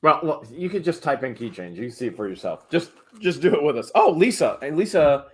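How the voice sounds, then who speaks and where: High-voiced man calling live auction bids. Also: husky. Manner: excited, rapid bid calling. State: often loses voice after streams. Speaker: host running a live online auction. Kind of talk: fast auctioneer-style live stream chat.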